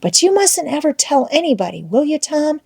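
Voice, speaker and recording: A woman's voice: sits high, sing-song, normal speed, creaky, darkish tone, pitched above the performer's own voice, full character voice. A woman voicing a shy, sweet, hesitant little schoolgirl in an audiobook, timid and childlike. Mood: shyly fond. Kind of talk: audiobook character voice.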